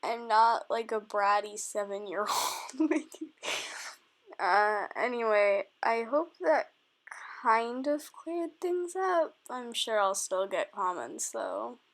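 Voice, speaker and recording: A woman with a high voice talking to camera in a rambling vlog, hesitant and defensive, with halting restarts and trailing-off sentences. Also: animated. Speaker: video creator. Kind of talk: rambling vlog response to comments.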